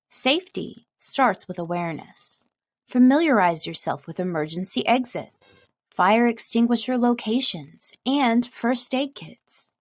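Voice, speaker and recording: A woman narrator reads a prepared script in a measured, carefully enunciated way. Her voice sits in a middle register with a noticeable creak, and the recording is dry and close. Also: mid-range voice, no room sound. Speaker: voice-over artist and audiobook narrator.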